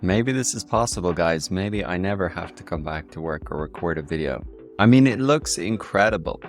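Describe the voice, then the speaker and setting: An Irish man hosting a casual software tutorial in an enthusiastic way. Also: sits low, expressive, moderate pace, soft background music. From Ireland. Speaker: tutorial video host.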